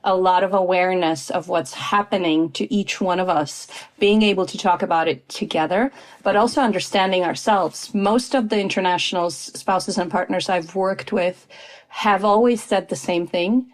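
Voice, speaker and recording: A woman's voice: lowish voice, normal speed. Polish-born woman guest on a podcast, thoughtful and earnest, lots of ums, middle aged. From Poland. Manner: thoughtful, earnest, many fillers. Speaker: guest, expat adjustment coach. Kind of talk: conversational podcast interview.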